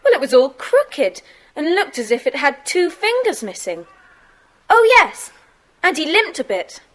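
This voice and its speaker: A woman's voice: high-pitched, very animated, unhurried, fairly bright tone, full character voice. A woman playing a frank, observant schoolgirl in a children's audio drama.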